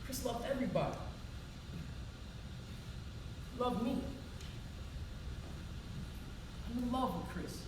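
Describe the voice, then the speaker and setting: A woman's voice: fairly high pitch, quick pace, lightly acted. A woman playing a wounded yet faithful grieving woman in an emotional, colloquial theatrical monologue. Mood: fond, tender. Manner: emotional, colloquial, emphatic, pleading. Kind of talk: theatrical one-act stage monologue. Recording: roomy echo, distant mic, loud noise.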